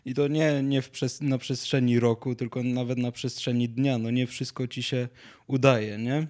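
A man's voice: mid-range voice, little pitch movement, moderate pace, darkish tone. A man telling anecdotes and chatting casually as a podcast co-host, in a hesitant, rambling, jokey way.

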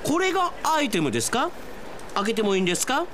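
A man playing an excitable, whiny, hapless young man in a comic radio drama, with theatrical exclamations. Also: very high-pitched, fast, a little hiss or hum, exaggerated voice. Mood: bewildered. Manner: theatrical exclamations, sprinkles in English phrases.

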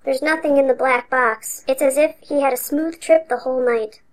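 A woman's voice: steady tone, normal speed, natural voice. A woman doing a tiny-voiced old elf. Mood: matter-of-fact. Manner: high and reedy, bright, eager. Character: ancient devoted male elf, small, tiny-voiced.

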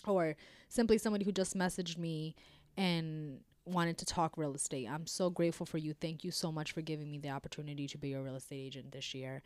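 Low-voiced woman podcast host with a cold. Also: fairly quick, no echo. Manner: animated, laughs often, repeats words for emphasis. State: congested with a cold. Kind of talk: casual chat.

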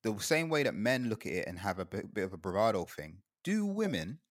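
A man's voice: lowish voice, big pitch swings, brisk, no room sound, clean studio sound. A young man from Guyana in casual chat, rambling and laughing often as he talks.

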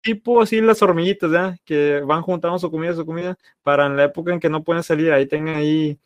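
A man's voice: fairly high pitch, fairly even intonation, fast talker, no room sound, lo-fi sound. A man with a Mexican Spanish accent, chatting casually as a podcast co-host, rambling and checking in with tag questions. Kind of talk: casual chat.

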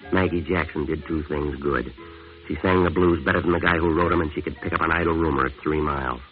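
An actor playing a tough, world-weary American jazz musician, clipped and deadpan, in a 1950s hard-boiled radio drama. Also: male voice, very deep voice, fast. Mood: deadpan, brooding. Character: tough, world-weary male jazz musician narrating his crime story. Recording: tinny old radio recording with music.

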